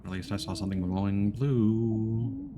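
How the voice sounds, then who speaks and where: A man giving casual commentary while playing a co-op game, guiding a teammate and thinking aloud haltingly. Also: fairly low pitch, noisy background. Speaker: streamer guiding a co-op player.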